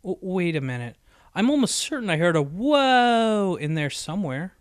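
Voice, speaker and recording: Guy doing a cocky young pegasus girl for a story reading, stammery and eager, voice pitched up. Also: sing-song, normal speed, smooth, no room sound, clean studio sound. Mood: defensive. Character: brash, sheepish young female pegasus pony flier. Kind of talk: acted audiobook dialogue.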